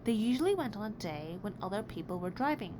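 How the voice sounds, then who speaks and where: A woman reading a children's picture book aloud in an expressive, deliberate storytelling way. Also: mid-range voice. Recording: clearly noisy background.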